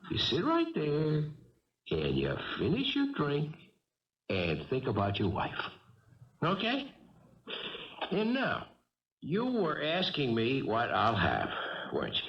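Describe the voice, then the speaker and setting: Slow cool young killer guy, swoopy pitch, mock-polite, old muffled radio drama. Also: huge pitch swings, heavily acted. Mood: calm menace. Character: cool, unhurried young male armed robber and killer. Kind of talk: radio mystery drama acting. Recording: dull old radio recording, faint music.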